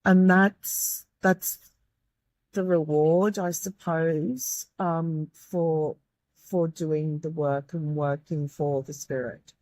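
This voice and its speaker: A woman from Australia with a croaky, tired voice, a guest speaking earnestly in an interview with frequent restarts. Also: low-pitched, fairly even intonation, takes it slowly, darkish tone, dry recording. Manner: earnest, grateful, with many restarts. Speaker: guest, medium and tutor. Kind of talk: reflective interview talk.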